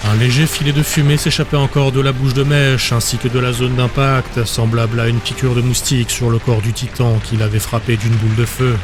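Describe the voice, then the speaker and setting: Even-toned male audiobook narrator. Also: medium pitch, a little fast, few pauses, music in the background. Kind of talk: scripted dramatic fantasy audiobook narration.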